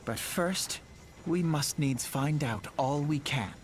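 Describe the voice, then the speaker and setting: An actor playing an earnest, idealistic young scholar-leader in a fantasy game, speaking in formal, archaic phrasing. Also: male voice, a little high, slow, a little hiss or hum. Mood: grave, measured. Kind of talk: fantasy game dialogue acting.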